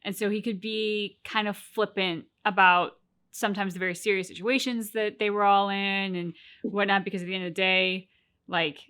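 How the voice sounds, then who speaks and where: A woman co-hosting a game reaction video, talking in an animated, rambling way with many restarts. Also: medium pitch, normal speed, smooth. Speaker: co-host of a game reaction channel. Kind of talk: casual reaction chat.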